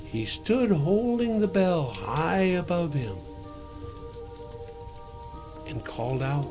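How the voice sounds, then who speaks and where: A man reading a children's story aloud in a theatrical, drawn-out way, as a Santa performer. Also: on the high side, sing-song. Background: music.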